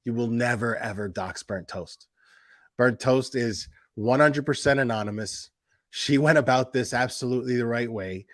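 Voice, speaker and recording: A man's voice: mid-range voice, normal speed, dry recording, studio quality. A man giving casual, sarcastic reaction commentary, mocking and swearing, hammering points home through emphatic repetition. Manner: profane. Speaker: host of a commentary stream.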